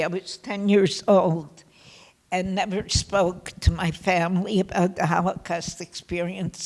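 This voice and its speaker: Old woman in her 80s, soft faint shaky voice, very slow, lots of pauses, reverby hall. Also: medium pitch. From Poland. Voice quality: soft, sometimes faint voice from essential tremor. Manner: slow and halting, with pauses and restarts. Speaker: Holocaust survivor speaker. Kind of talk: personal testimony to students. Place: consulate event room.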